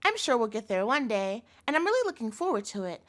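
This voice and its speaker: A woman's voice: fairly high pitch, very animated, fast talker, a bit bright. A woman playing a doting, possessive yandere girlfriend in a scripted audio roleplay, coaxing and pleading in a cloying manner. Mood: sugary, evasive.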